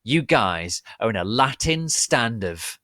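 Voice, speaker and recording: A man voicing a melodramatic, hot-tempered gothic teenage girl with shrill, over-dramatic exclamations in a story reading. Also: very animated, slow, bright, forceful tone, no echo, studio quality, heavily acted. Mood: defiant.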